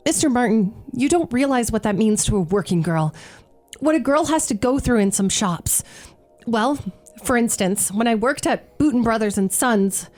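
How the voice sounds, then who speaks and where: A woman's voice: fairly high pitch, lively intonation, moderate pace, darkish tone, faint music behind, close to an everyday voice. An actress playing an eager, ingratiating and plucky shop girl in a radio play. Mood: coaxing. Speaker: actor. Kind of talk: radio theatre acting.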